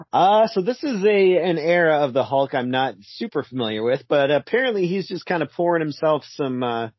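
A man's voice: fairly high pitch, expressive, normal speed, no echo, highs cut off. A man co-hosting a podcast, chatting laid-back about comics and laughing often. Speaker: podcast co-host. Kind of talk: casual comic book chat.

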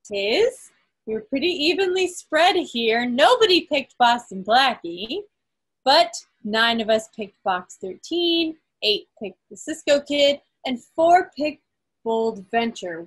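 A woman with a fairly high, slightly husky voice reads out quiz results as host. She speaks in a measured, emphatic way, recorded over a group video call. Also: a bit bright, rough recording. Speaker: quiz host at a club meeting.

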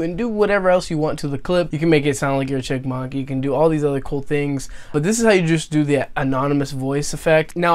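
A man in his twenties with an American accent, giving a casual, informal tutorial in a rambling way. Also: medium pitch. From Texas, United States. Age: 20s. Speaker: video editing tutorial host. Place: small bedroom studio, indoors.

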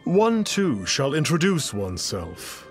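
Smug fake sage: grandiose, archaic diction, measured pace, swooping intonation, game music underneath. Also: male voice, fairly high pitch, wildly swooping pitch, exaggerated voice. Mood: lofty, self-important. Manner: pompous, archaic formal speech. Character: pompous fake adept, smooth self-styled holy master. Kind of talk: video game voice acting.

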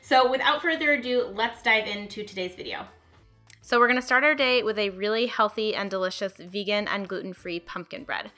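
A woman's voice: medium pitch, sharp tone. A young woman in her twenties, a cooking channel host talking viewers through recipes in a friendly, chatty way from her home kitchen. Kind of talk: upbeat recipe walkthrough.

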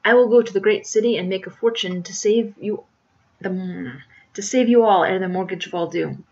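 A woman's voice: medium pitch, moderate pace, a little mellow, lo-fi sound, natural voice. A woman voicing an earnest young male melodrama hero, florid and self-important, declaiming with grand romance in a storybook reading. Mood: heroic, defiant.

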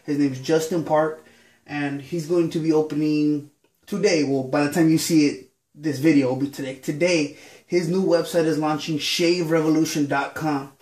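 A man's voice: a little high, normal speed, reverberant. A man in his thirties with a stuffy nose, chatting casually and rambling with lots of ums while talking to the camera in a small home bathroom. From Southern California. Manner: relaxed, rambling with many ums. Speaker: shaving vlogger. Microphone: camera on a counter facing a mirror.

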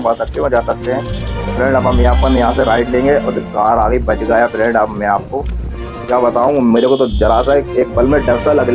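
A man with a central Indian accent talks quickly in a casual motovlog style. His mid-pitched voice stays fairly level as he repeats himself and chats to viewers, with music behind him. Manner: chatty, repetitive, addressing viewers as friends. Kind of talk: casual running vlog commentary while riding. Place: outdoors on a motorbike on a road.

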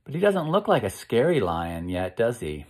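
An American man in his fifties reading a picture book aloud to children in a slow, expressive, encouraging way, pausing to ask them questions. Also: medium pitch. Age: 50s. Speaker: children's read-aloud host. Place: indoor room at home.

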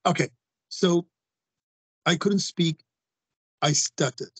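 A man in his forties talks forcefully over a video call, repeating himself for emphasis. His voice is a touch husky and sits a little high for a man. The sound is close and dry. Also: takes it slowly, no room sound. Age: 40s. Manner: forceful, repeats phrases, addresses listeners directly. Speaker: guest. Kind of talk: emphatic interview talk.

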